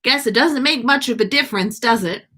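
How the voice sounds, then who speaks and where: A woman's voice: fairly high pitch, fairly quick, a bit bright. A woman voicing a cocky schoolboy in a story, mocking his rival with amusement. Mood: amused taunting.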